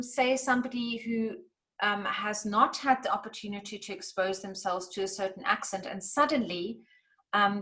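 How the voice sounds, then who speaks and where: A woman's voice: mid-range voice, fairly bright tone. A woman who is a native English speaker and a language coach, chatting casually with many fillers and restarts. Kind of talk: casual podcast chat.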